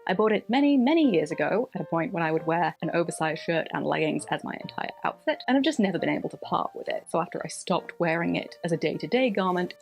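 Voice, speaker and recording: A woman narrating a scripted sewing vlog voice-over in an expressive way, with theatrical emphasis. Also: on the low side, vocal fry. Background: background music.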